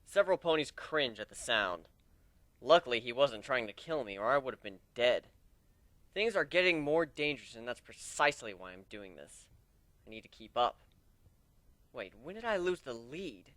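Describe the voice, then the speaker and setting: Male amateur narrator, dramatic story reading, highly animated pitch, close dry sound. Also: normal speed, dry recording. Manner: theatrical, expressive. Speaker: amateur reader of fan fiction.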